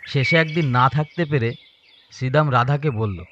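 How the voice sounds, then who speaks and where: A man's voice: medium pitch, moderate pace, highs cut off, very noisy. A man narrating a scripted story as a storyteller.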